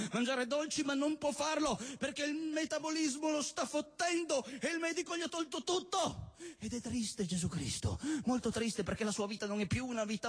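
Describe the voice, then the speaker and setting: Male stage poet, extremely high pitch, theatrical and insistent, emphatic declamatory delivery. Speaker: performance poet. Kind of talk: theatrical spoken-word poetry performance on stage. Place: live on stage at a cultural venue event.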